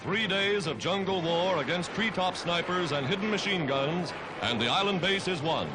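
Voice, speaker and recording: A man's voice: high-pitched, moderate pace, poor audio. An American man narrating a wartime 1940s newsreel from a script, in a dramatic, emphatic, declamatory manner. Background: loud music.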